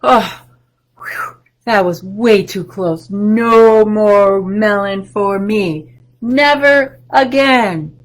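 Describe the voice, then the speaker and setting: A woman's voice: mid-range voice, extremely slow, low-quality recording. A woman voicing a small, fussy green crocodile from a storybook, squealing in panic. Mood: relieved.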